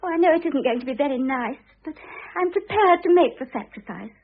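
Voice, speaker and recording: High, gushing, self-absorbed young wife. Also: female voice, animated, fairly quick, phone-quality sound. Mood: self-sacrificing pose. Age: young adult. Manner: gushing, theatrical, wheedling. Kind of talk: classic radio drama acting. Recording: thin band-limited old radio recording.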